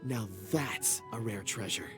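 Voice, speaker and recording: A man's voice: on the low side, a little slow, over music, lightly acted. A man voicing a genial, absent-minded rock enthusiast, courteous and eloquent, in a video game. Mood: admiring. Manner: courteous, earnest, slightly flowery. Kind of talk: English video game voice acting.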